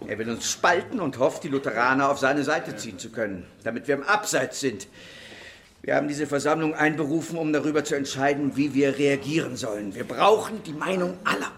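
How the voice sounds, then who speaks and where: An actor in a radio drama playing a grave leader of persecuted rebels, speaking in an earnest, declamatory way. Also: male voice, mid-range voice, a little fast, fairly bright tone, natural voice. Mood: alarmed, urgent.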